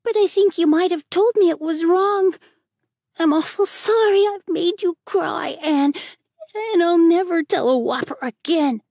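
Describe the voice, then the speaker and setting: A mischievous little boy with a high, childlike voice and a frank, pleading, colloquial way of talking, as a woman puts him on. The sound is dry and close, with no room around it. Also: animated, measured pace, darkish tone, no room sound. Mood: sobbing pleas.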